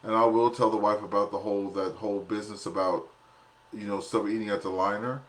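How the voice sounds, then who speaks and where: A man's voice: on the low side, flat delivery, brisk. Rambling man in casual radio-net chat. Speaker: amateur radio operator on a net.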